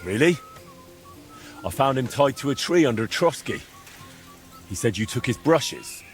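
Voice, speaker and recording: A young man in a medieval game drama. He questions people calmly and insistently, with a dry edge. His medium-pitched voice moves freely up and down over faint music. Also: a little slow. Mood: probing. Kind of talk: video game dialogue acting.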